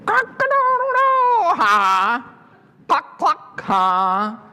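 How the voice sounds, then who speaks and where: A man voicing mocking farm animals in a storybook, with loud exaggerated brays, clucks, quacks and ha-has. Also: extremely high pitch, huge pitch swings, extremely slow, pitched above the performer's own voice, heavily acted. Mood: jeering, laughing. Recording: noisy, reverberant hall.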